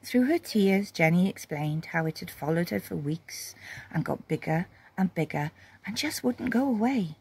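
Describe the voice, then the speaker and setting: A woman reading a children's story expressively, with dramatic pauses and emphasis. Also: on the low side, animated, darkish tone, slightly rough.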